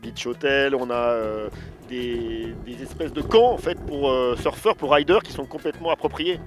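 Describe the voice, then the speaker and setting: A man hosting an interview, asking questions casually and slowly with many fillers. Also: mid-range voice, over music. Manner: slow, halting, lots of fillers. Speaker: interviewer host.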